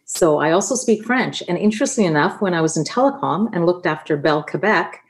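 A woman from Canada whose first language was Italian speaks as a podcast guest. Her voice sits slightly low, and she tells her points through relaxed anecdotes. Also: a little fast. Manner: explains in a conversational, anecdotal way. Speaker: guest expert. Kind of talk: podcast interview.

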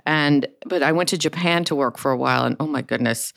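A woman's voice: low-pitched, fairly quick, creaky, fairly bright tone. A woman hosting an interview, friendly and chatty, sharing her own experiences between questions. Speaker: interview host. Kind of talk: conversational interviewing.

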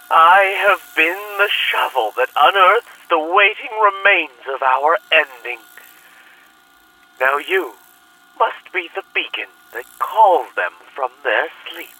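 Slow, piercing fanatical cult leader. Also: male voice, on the high side, pushed and bright, heavily acted. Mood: resigned, solemn. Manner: theatrical, deliberate, preaching with rhetorical questions. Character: fanatical male cult leader delivering a recorded manifesto. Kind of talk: audio drama monologue. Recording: harsh taped recording, distant from mic.

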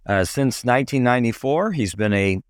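Man, raspy hoarse voice, podcast host, rambling friendly chat, dry. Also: medium pitch, expressive, moderate pace, dry recording. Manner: friendly, rambling with many fillers.

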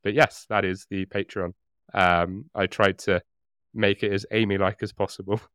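A man chats casually as a podcast co-host. His voice is smooth and clear, recorded dry. He rambles a little hesitantly, with a joking streak. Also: low-pitched, little pitch movement, normal speed, fairly bright tone, no room sound. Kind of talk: casual chat.

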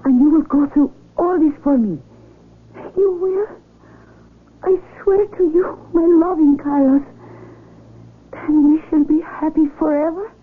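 An actress playing a devoted young sweetheart in a radio drama, tender, imploring and melodramatic. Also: female voice, high voice, measured pace, pauses often, narrow, tinny audio. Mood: tender, insistent. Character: devoted young sweetheart, pleading gently to be married. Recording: thin, band-limited old radio recording.

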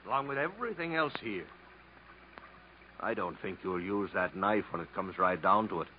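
An actor playing an insinuating, hearty-drinking bungalow owner with mock-polite, domineering manner in 1950s radio style. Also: male voice, low-pitched, lively intonation, normal speed. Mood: hostile, taunting. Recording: dull, noisy vintage radio recording.

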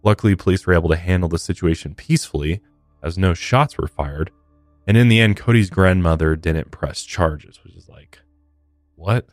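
A man's voice: sits low, brisk, no room sound. An American man hosting a true-crime podcast, reading scripted narration with blunt, casual, sometimes profane asides on a close microphone. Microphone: close studio podcast microphone. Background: faint music.

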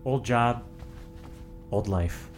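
A man acting an uneasy, evasive newcomer with a hesitant, halting manner in an audio drama. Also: medium pitch, soft background music.

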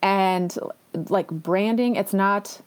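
A woman hosts her podcast alone in a casual, chatty way. She sounds eager and enthusiastic, and she often drops in little self-deprecating asides. Her voice sits at a middle pitch for a woman. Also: slow pace, clear, resonant tone. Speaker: podcast host. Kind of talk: casual solo podcast talk.

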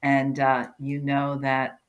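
A woman's voice: a bass voice, monotone, slow pace. Middle-aged woman from new jersey chatting on a podcast, earnest and emphatic, trips over words and restarts a lot. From New Jersey, USA. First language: German. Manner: earnest, emphatic, with self-corrections and repeated words. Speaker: podcast guest. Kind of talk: conversational interview.

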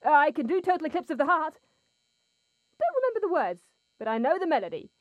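High, swoopy, dreamy scatterbrained woman. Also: huge pitch swings, fairly quick, no echo, muffled recording. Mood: groggy, puzzled. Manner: dreamy, easily distracted chatter. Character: groggy, scatterbrained, playful woman waking in a capsule. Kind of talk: audio drama acting.

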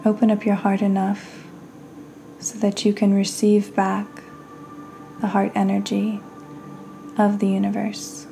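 Woman doing a guided meditation, mellow n soothing, really slow w long pauses. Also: medium pitch, completely flat delivery, vocal fry, soft background music. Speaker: podcast host and meditation guide.

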